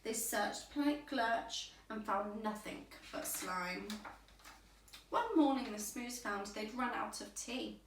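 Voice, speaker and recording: Unhurried woman reading a bedtime story. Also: a little high, slight background noise. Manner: deliberate, expressive, measured phrasing. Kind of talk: rhyming bedtime story read aloud for children. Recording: roomy echo, distant microphone.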